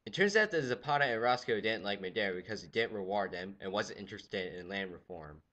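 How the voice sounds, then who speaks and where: A teenage boy giving a goofy, jokey history lecture, swinging from loud exclamations to quiet asides. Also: fairly low pitch, brisk. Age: teens. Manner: goofy, animated, jumps between loud exclamations and mutters. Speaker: student presenter. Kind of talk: comedic lecture with skits.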